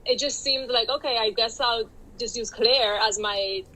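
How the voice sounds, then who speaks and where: Bright-voiced woman, Miami Cuban accent. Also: fairly high pitch, measured pace, a little hiss or hum, rough recording. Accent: Miami Cuban-inflected English. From Dominican Republic. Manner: chatty, laughs often, many "like"s. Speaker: guest author. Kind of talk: casual interview conversation.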